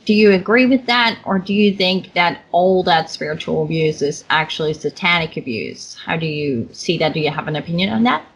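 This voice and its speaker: Dutch-native woman, interviewer, low voice, slightly muffled call audio. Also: animated, brisk, few pauses, breathy edge. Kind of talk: casual interview conversation.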